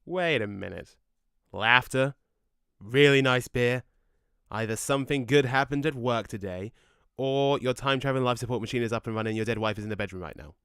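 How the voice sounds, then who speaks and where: A man playing a cheeky, excitable ghost in an audio drama, chatty and exclamatory in his delivery. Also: medium pitch, a little fast, clean tone, no echo, studio quality, lightly acted. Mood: curious, cheeky. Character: Sonny, excitable, cheeky male ghost, matey and mischievous.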